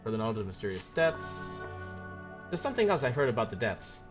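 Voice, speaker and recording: A man reading the lines of an earnest fantasy game quest giver, in old-fashioned, measured phrasing over game music. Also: mid-range voice, very animated, lightly acted. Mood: musing.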